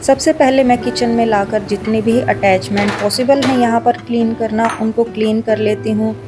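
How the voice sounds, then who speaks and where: A woman with an Indian accent giving a calm, step-by-step voice-over for a home cleaning video. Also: a little high, normal speed. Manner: explains each step in a steady how-to tone. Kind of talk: calm scripted voice-over narration. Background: background music.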